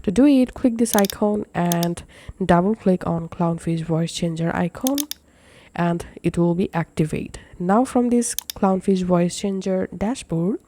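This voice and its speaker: A tutorial presenter narrating software steps in an instructional way, with slightly non-native phrasing. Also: medium pitch, measured pace, slight background noise.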